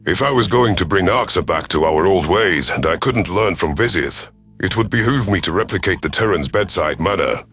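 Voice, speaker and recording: A man reading a first-person science fiction story as a stern alien commander, with formal, measured wording. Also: low-pitched, expressive, fairly bright tone. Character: stern alien reptilian military commander narrating. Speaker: audiobook narrator of science fiction.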